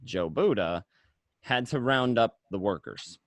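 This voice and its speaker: A man's voice: on the low side, a little slow, no echo. A man playing a talkative, edgy gangster in a radio drama, with a conversational way of talking. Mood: wry narrating. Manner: animated, conversational, repeats himself. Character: talkative, edgy male gangster recounting and scheming. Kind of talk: film script read as radio drama.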